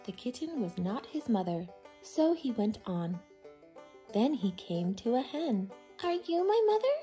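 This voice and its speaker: Slow, animated woman reading to children. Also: mid-range voice, faint music behind. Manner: deliberate, simple phrasing for young children. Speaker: children's storytime reader. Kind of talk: expressive picture-book read-aloud.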